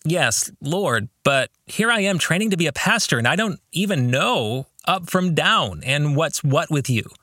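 A man's voice: fairly high pitch, animated, unhurried, hardly any pauses, bright, forceful tone, dry recording, clean studio sound, full character voice. A man voicing an anxious, weary young seminary student who prays in a pleading, troubled way, in a novel reading. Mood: confused, pleading. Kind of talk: audiobook-style novel reading.

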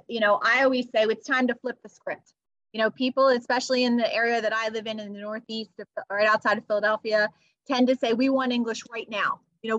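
Upbeat woman teacher, slightly high voice. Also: fairly bright tone, no room sound, poor audio. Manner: earnest, upbeat, many "you know" fillers. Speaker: guest, teacher. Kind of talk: podcast interview. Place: near Philadelphia.

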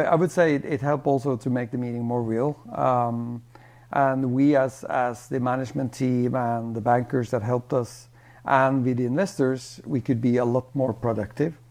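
Guy, exec leaving a voicemail comment, soft dark mellow tone, halting unscripted business talk. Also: mid-range voice.

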